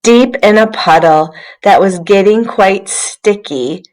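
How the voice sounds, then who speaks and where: A woman's voice: mid-range voice, slow, a little mellow, rough recording. A woman literacy coach leading an interactive read-aloud for young children, instructive, prompting listeners with questions.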